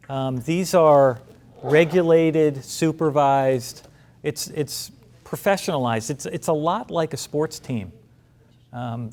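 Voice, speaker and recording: A man's voice: mid-range voice, darkish tone. A man who is a college president, giving an informal, conversational speech to alumni. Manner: conversational, self-deprecating, with restarts. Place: large meeting room.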